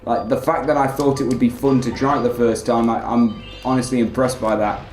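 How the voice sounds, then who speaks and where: A man giving rambling, filler-heavy let's play commentary in a flat, monotone way. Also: medium pitch, fairly quick, roomy sound, soft background music, low-quality recording. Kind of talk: casual gameplay commentary.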